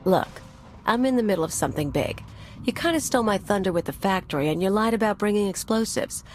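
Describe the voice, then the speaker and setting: A woman's voice: mid-range voice, lively intonation, quick pace, a little mellow, slight background noise, natural voice. An actress playing a brash, sarcastic female anarchist bomber in a video game, with a blunt, irreverent manner. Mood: brusque, sardonic.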